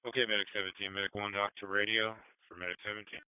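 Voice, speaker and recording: A man's voice: on the low side, fast talker, clear, resonant tone, no echo. A man working as an emergency dispatcher, reading out calls over two-way radio in terse, procedural phrases. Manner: flat, procedural, clipped phrases. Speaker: fire and medical dispatcher. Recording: narrow tinny police scanner radio feed.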